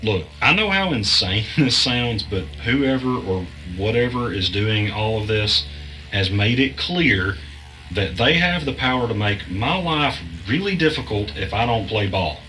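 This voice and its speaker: An anxious, earnest podcast host played by a man in a conspiracy audio drama. His voice sits low, and he talks naturally and a little hesitantly, with soft music behind him. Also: big pitch swings, a little slow, exaggerated voice, poor audio. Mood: anxious, insistent. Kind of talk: audio drama, naturalistic dialogue and first-person narration.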